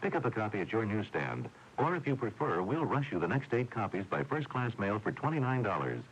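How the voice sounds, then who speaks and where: A man's voice: on the low side, very animated, fairly quick, rough recording. An American man reading a scripted radio advertisement as a commercial announcer. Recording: faintly hissy old radio recording.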